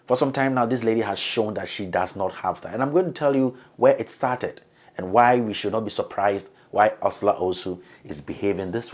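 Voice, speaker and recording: A hoarse man from Ghana, delivering a scripted political editorial in an emphatic, accusatory way. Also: lowish voice, darkish tone. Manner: emphatic, accusatory, building in force. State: hoarse from flu. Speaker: political commentator.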